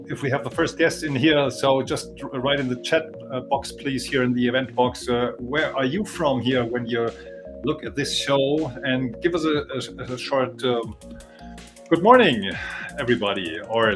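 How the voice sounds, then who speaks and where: A man hosting a casual live stream, haltingly, often repeating words as he talks. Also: medium pitch, over music. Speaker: live show host.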